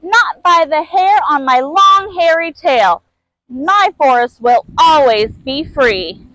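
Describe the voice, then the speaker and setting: Storybook animal: small male lemur played by a woman, high, chirpy and plucky, dry close sound. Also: animated, slow, a bit bright, no echo, heavily acted, low-quality recording. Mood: defiant. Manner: chirpy, exclaiming. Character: carefree little male lemur, plucky and defiant.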